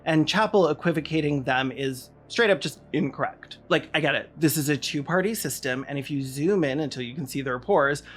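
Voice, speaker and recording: Video essay host, mid-pitched, sardonic and emphatic scripted commentary over light music. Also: a little fast, few pauses.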